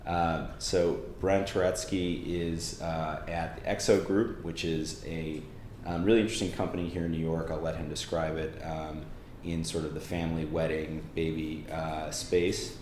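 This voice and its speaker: A male host with a low voice introduces his guest and asks questions, with lots of fillers. He sounds friendly and easygoing. The recording has a roomy echo and faint ambient noise. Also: flat delivery, normal speed, few pauses, a little mellow. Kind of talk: conversational interview.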